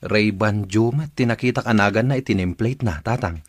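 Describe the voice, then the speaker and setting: A man playing an aggrieved, hurried and complaining security guard in a radio drama. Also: fairly low pitch, slightly rough, no echo, studio quality. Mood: grumbling.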